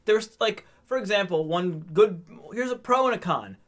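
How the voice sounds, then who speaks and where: Casting: male podcast co-host, high voice, chatty and animated, quick to laugh. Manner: laughs often, animated, restarts words. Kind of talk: casual chat.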